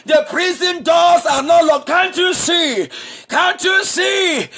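A man preaching a sermon as pastor, shouting with a repetitive, sing-song cadence. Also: extremely high pitch, slow pace. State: married. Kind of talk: impassioned preaching.

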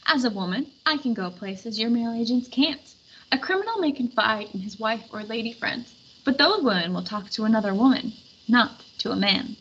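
A woman's voice: on the high side, lively intonation, normal speed, fairly bright tone. A woman reading the part of a confident nineteenth-century woman detective in a storybook, firm and precise. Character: firm, self-assured young woman detective of the 1800s. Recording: dull lo-fi recording with background noise.

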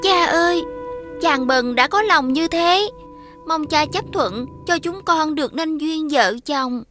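A woman playing a gentle, devoted young maiden in an animated fairy tale, speaking with formal courtesy. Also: high voice, slow. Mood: dutiful, pleading. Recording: clear music behind the voice.

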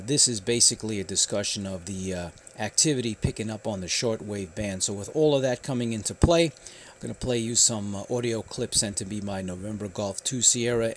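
A man from the United States hosting a radio hobby channel, giving a casual news update in a halting, informal way. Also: on the low side. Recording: faint background noise.

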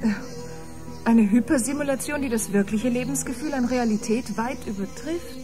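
A woman's voice: a little high, moderate pace, over music, close to an everyday voice. An actress playing an emotional, wondering female biomusician on a Mars voyage, speaking expressively in a science-fiction radio drama. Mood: impassioned.

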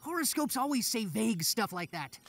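A man's voice: high voice, sing-song, moderate pace, vocal fry, fairly bright tone, soft background music, heavily acted. A man voicing a bossy, short-tempered, sarcastic teenage superhero leader in a cartoon. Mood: irritated. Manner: sarcastic, dramatic. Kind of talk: animated cartoon voice acting.

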